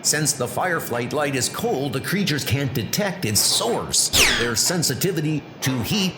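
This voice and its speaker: A man's voice: a little high, animated, measured pace. A man giving dramatic, suspenseful narration for a comic book. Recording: music playing underneath.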